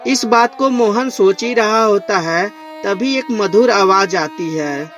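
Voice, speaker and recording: A woman's voice: mid-range voice, slow. A woman narrating a scripted children's moral story. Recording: music behind the voice.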